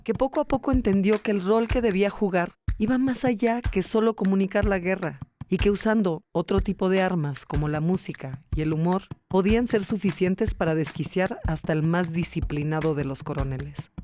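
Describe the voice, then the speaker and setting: A woman's voice: lowish voice, brisk, hardly any pauses, mellow, slight background noise. A woman hosting and narrating a documentary podcast in a scripted storytelling style. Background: music under the voice.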